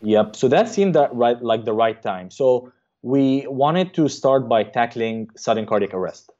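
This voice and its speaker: A man in his thirties, originally from Egypt, answering interview questions as a cardiologist and startup co-founder, with a halting manner full of fillers. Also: medium pitch, animated, darkish tone. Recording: dull lo-fi sound.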